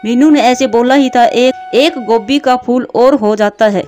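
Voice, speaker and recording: A woman's voice: fairly high pitch, a little slow, warm tone, faint music behind. A woman narrating a scripted children's story.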